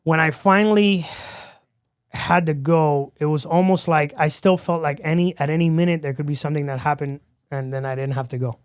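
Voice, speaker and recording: A man's voice: fairly high pitch, fairly quick, smooth. A man from Miami, a podcast guest, telling his story in a casual interview and acting out quoted dialogue as he goes. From Miami, Florida. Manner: animated storyteller who acts out quoted dialogue.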